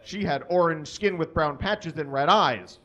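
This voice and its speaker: A man reads a story aloud for comedy from a small stage, in a voice slightly higher than most men's. He delivers it deliberately, stumbling over errors as written. The live recording sounds somewhat dull and muffled. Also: fairly bright tone. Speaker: live reader. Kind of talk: comic dramatic reading of fan fiction to an audience. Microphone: stand microphone on stage, filmed from a distance.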